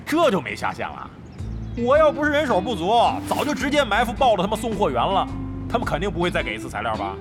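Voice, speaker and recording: Cocky middle-aged gamer guy, grumbly and slangy, pitch swinging all over, music behind, audio drama. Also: huge pitch swings, quick pace. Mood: scheming. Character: brash, crafty middle-aged male veteran online gamer. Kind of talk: Chinese audio drama acting.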